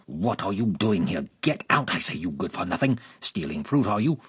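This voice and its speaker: A man's voice: mid-range voice, very animated, unhurried, no echo, voice pushed up. A man playing a fawning, lecherous middle-aged official who turns harsh and scolding, read in a storybook. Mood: furious. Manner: wheedling, then harsh and scolding. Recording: dull lo-fi recording.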